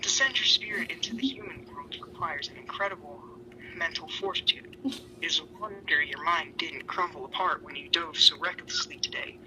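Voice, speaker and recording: A man voicing a menacing, seductive male deity in a fan fiction reading, speaking in a breathy, deliberate, imperious way. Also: fairly high pitch, in a whisper, close to an everyday voice, rough recording. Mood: ominous, seductive.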